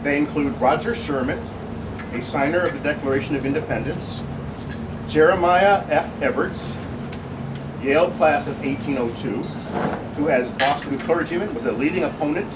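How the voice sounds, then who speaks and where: Guy reading a jokey tribute speech, wry asides, bit high voice, mic far away, really noisy room. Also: moderate pace. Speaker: speech reader at a birthday celebration. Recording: distant mic, loud room noise.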